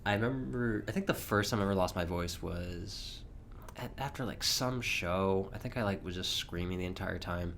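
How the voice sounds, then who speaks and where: A slightly hoarse young man hosting a casual solo podcast, rambling chattily with frequent chuckles. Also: sits low, normal speed, a bit bright, a little hiss or hum. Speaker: podcast host.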